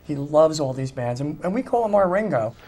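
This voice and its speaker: A man's voice: on the high side, lively intonation, a little fast, warm tone. A middle-aged man, a musician talking conversationally as an interview guest. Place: small TV studio, indoors.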